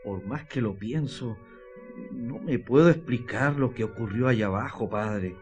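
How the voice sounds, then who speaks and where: A man's voice: mid-range voice, a little slow, darkish tone, highs cut off, soft background music, close to an everyday voice. An actor playing a troubled, bewildered father in a radio drama, hesitant and questioning.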